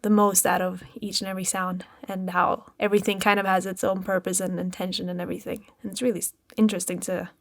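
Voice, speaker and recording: A woman's voice: mid-range voice, little pitch movement, fast talker, vocal fry, few pauses, a bit bright. A woman who grew up in Spain and now studies music production, answering interview questions over a remote call in a bubbly, giggly way. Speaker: music production student guest.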